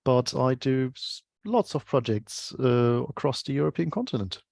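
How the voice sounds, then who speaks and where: A man's voice: mid-range voice, lively intonation, normal speed, gravelly, darkish tone, no echo. Hesitant German man, dry sound. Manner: hesitant, restarts often, searches for words. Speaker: guest, UX researcher. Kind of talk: casual interview chat.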